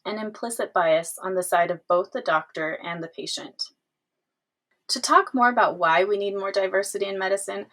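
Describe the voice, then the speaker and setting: Woman podcast host, mid-pitched, reads her intro evenly then asks questions. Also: a bit bright. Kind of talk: scripted intro and interview questions.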